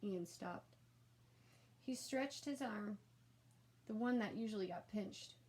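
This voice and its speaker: A woman narrating a children's storybook aloud in an animated, expressive and emphatic way. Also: mid-range voice, slow pace.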